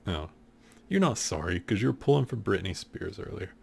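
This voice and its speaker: A man in his thirties with a deep voice, casually commenting while he plays a game show video game at his desk at home. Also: animated, normal speed, gravelly, darkish tone, breathy edge. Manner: laid-back, joking, thinking aloud. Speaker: streamer.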